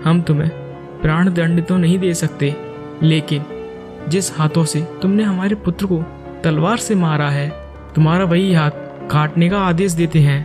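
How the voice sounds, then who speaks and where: A man's voice: a little high, fairly even intonation, moderate pace, natural voice. Man acting stern cartoon king, commanding, grieving, dramatic, music in the background. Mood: angry, accusing. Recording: music behind the voice.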